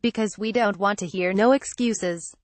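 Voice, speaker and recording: A woman's voice: medium pitch, normal speed, dry recording. A woman's synthetic text-to-speech voice reading a written transcript aloud as a narrator.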